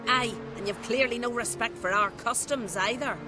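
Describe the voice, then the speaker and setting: An actor playing a scornful, confrontational villager in a fantasy video game. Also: male voice, very high voice, moderate pace, over music. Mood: indignant. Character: indignant, scornful male villager.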